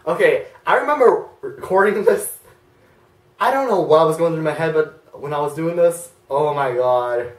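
A teenage boy reacting to his own old videos in his bedroom, embarrassed and excitable, jumping between mutters and yelps. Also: fairly high pitch, moderate pace, echoey room, far from the mic. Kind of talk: casual reaction commentary. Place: bedroom, indoors, hugging a big stuffed bear.

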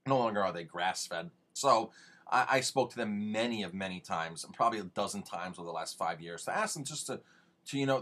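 A man's voice: on the low side, fairly bright tone. A man giving informal, instructional advice as a restaurant owner, conversational and emphatic, often posing rhetorical questions. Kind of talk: informal instructional video talk.

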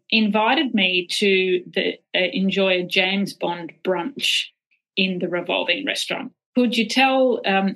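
A woman hosting a podcast with a bright, forward tone and a gravelly edge of vocal fry. She speaks with enthusiasm and asks her guest questions, restarting now and then mid-phrase. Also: medium pitch, rough recording. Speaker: podcast host. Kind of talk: podcast interview.

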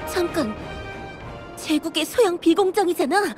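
An earnest young elf, speaks in an extremely high voice. The delivery is urgent and emotional, scripted game drama over faint music. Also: female voice, expressive, unhurried, darkish tone. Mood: alarmed. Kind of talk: scripted game cutscene acting.

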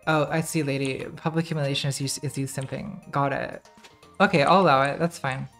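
A woman in her thirties with a very soft, quiet voice, chatting casually to her live-stream chat while playing a game, self-deprecating and laughing often. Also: extremely low pitch, fairly even intonation, brisk, soft background music. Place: home streaming room, indoors.

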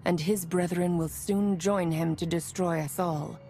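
An actress playing a solemn prophetic seer in a video game, grave and measured in manner. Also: female voice, lowish voice, steady tone, background noise. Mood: solemn, foreboding. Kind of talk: video game cutscene acting. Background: faint game music.

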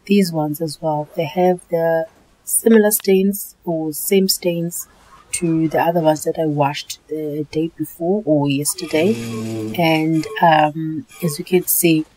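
A South African woman narrating her cleaning vlog in a relaxed, chatty voice-over, often repeating herself. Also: low voice, takes it slowly, poor audio. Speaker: home cleaning vlogger.

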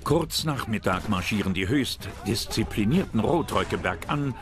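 A man's voice: lowish voice, lively intonation, unhurried. A man reading scripted historical narration for a documentary. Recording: music behind the voice.

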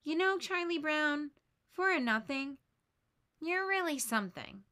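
A woman reading aloud, voicing a calm, earnest boy who encourages his friend. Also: high-pitched, measured pace, voice pushed up, heavily acted. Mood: urging.

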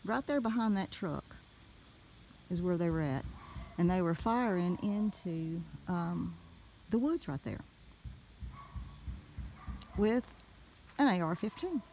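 A woman with a Southern US accent telling a story casually in a folksy, animated way, recorded on a handheld phone outdoors. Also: fairly low pitch, slow pace, pauses often, slight background noise, rough recording. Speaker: homestead vlogger. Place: outdoors in a wooded rural yard.

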